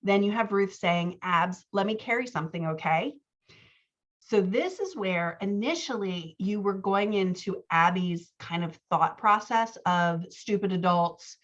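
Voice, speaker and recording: Female writing coach, lowish register, chatty workshop critique with self-deprecating humour, read-aloud excerpts, slightly muffled lo-fi recording. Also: unhurried, fairly bright tone.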